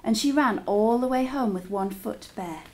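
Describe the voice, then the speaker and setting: A woman reading a story aloud to children as a teacher, in an expressive, deliberate storytelling style with drawn-out words. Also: a little high, slight background noise, poor audio.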